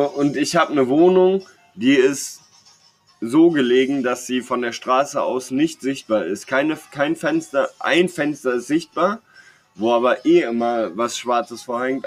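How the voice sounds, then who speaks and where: A young man chats casually as a podcast co-host, telling personal anecdotes in a slangy, rambling, jokey way. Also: mid-range voice. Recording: clear background noise.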